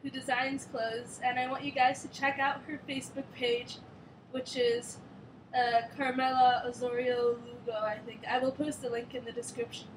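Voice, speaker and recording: A woman's voice: fairly high pitch, little pitch movement, moderate pace, noisy background. A young woman from Switzerland chatting casually to camera in a rambling, filler-heavy way, sounding strained while doing a headstand. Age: young adult. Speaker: vlogger. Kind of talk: casual chat.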